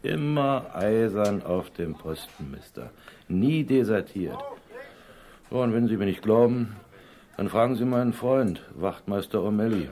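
A man's voice: lowish voice, lively intonation, measured pace, warm tone. An actor playing a stolid, proud older theatre doorman in a German crime radio play, with a hesitant, folksy, emphatic delivery. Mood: proud, plodding.

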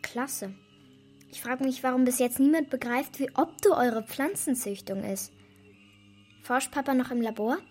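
Girl hero in a kids radio play, high voice, eager, conspiratorial, bit of music. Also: unhurried, darkish tone, husky. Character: resourceful, secretive young girl devoted to her dog. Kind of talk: children's radio drama.

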